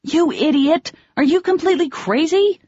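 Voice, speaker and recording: A woman reading an audiobook, voicing a quick-tempered young woman who snaps back sharply. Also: lively intonation, no echo. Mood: furious. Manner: shrill when angry, sharp retorts. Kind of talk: audiobook dialogue. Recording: dull lo-fi dry audio.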